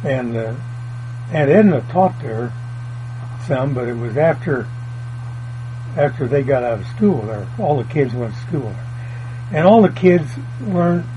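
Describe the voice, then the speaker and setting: Older farmer reminiscing, humming tape. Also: male voice, medium pitch, animated, a little slow, long pauses. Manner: folksy, meandering, plain country grammar. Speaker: oral history interviewee. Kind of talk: rambling family reminiscence. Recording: dull lo-fi tape with mains hum.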